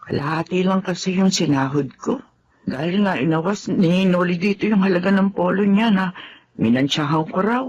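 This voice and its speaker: A woman's voice: on the low side, moderate pace, darkish tone, slight background noise, lightly acted. A woman playing a meek, worried housemaid mother. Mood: sad. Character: put-upon middle-aged housemaid mother, meek. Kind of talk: radio drama acting. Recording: dull lo-fi sound.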